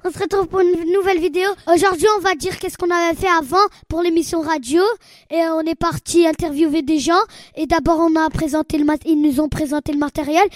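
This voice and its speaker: Young girl doing a chatty radio recap, high voice, pretty dry sound, keeps repeating herself. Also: dry recording. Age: child or young teen. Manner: repetitive, searching for words. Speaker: youth radio presenter. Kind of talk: casual recap, vlog-like chat.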